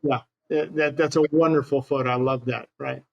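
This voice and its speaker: A man's voice: mid-range voice, fairly even intonation, a little fast, gravelly, dull audio. An older man from Hawaii with an American accent, casually reminiscing as an interview guest. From Hawaii, United States.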